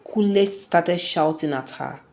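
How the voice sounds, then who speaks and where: A woman narrating a scripted folktale in a deliberate, dramatic storytelling manner. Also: on the low side, darkish tone, soft background music.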